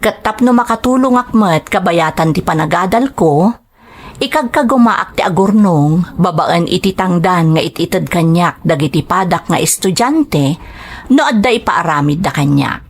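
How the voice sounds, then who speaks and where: A woman's voice: lowish voice, animated, moderate pace. A woman giving scripted narration of a letter for a drama story.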